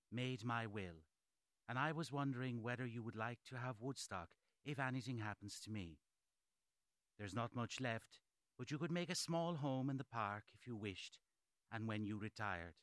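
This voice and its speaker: An actor playing a 1940s gentleman landowner reading his letter to a cousin before going to war, formal and measured, in a radio drama. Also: mid-range voice, no echo, studio quality.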